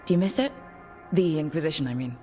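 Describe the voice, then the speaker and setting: A woman's voice: low-pitched, fast, warm tone, soft background music, close to an everyday voice. A woman playing a curious, wry adventurer and leader in a fantasy video game, with a dry conversational manner. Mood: probing. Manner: dry, inquisitive.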